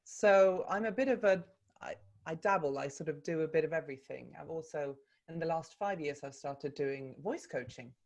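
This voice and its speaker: A Swedish woman with a low, deep voice talks in English as an interview guest over a video call. She chats in a relaxed way and pauses to think, often with ums and restarts. Her first language is Swedish. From Sweden. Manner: thoughtful, with frequent ums and restarts. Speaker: actor and voice coach, interview guest.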